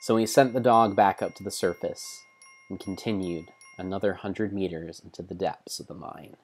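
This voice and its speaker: A man narrating video game play as a solemn, theatrical storybook tale, in measured phrases. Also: deep voice, fairly even intonation, breathy edge, soft background music. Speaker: streamer narrating own gameplay.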